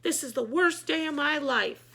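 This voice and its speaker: High, distraught woman author, put on by a reader. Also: moderate pace, creaky, pushed and bright, full character voice. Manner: pleading, melodramatic wailing. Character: distraught woman author, doting on her pet raptor. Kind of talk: children's book read-aloud.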